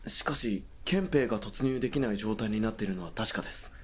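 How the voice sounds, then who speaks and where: An actor playing a dutiful young military police corporal in a radio drama, speaking in a formal, stiff, polite military manner. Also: male voice, fairly low pitch, fast talker, a little mellow, slightly rough.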